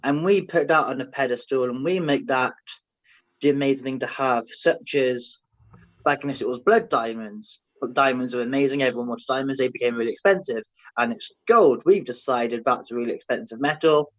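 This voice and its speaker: A man's voice: mid-range voice, a little fast, fairly bright tone. A young man on a panel in an online discussion, thinking aloud tentatively and often starting with "I feel". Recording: dull lo-fi audio.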